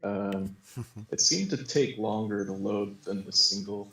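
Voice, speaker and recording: A man casually reporting on a test he ran, measured and a little hesitant. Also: fairly low pitch, pushed and bright, slightly rough, low-quality recording. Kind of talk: casual chat.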